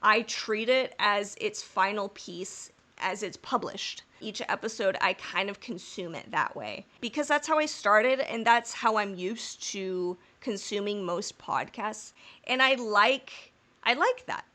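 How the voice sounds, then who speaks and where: A woman's voice: mid-range voice, expressive, a little slow, bright, forceful tone. A woman co-hosting a podcast in casual chat. She talks in long, rambling, candid stretches, swears freely and laughs through her own jokes. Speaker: podcast co-host.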